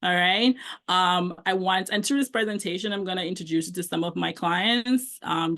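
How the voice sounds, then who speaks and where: Middle-aged Jamaican woman, book coach presenting, chatty with many fillers. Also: fairly low pitch. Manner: warm conversational, frequent ums. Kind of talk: online presentation.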